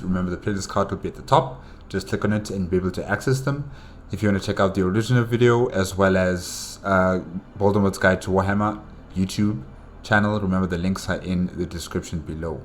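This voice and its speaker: A man hosting a reaction show, giving casual commentary in a halting way, thinking aloud and repeating himself. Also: deep voice, steady tone. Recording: noticeable background noise.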